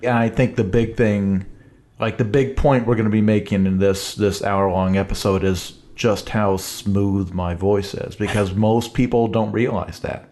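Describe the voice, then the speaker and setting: A man's voice: on the low side, steady tone, measured pace, a little mellow. A man talking on a casual podcast with dry, mock-boastful humor. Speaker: podcast guest, author.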